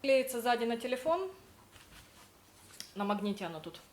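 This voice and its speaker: A young woman chats through her day as a vlogger, her voice sitting a little high. She tells stories and acts out the dialogue she quotes. A faint hum of noise runs underneath. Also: sing-song, normal speed, a little mellow. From Russian-speaking, living in Spain. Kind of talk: casual vlog narration.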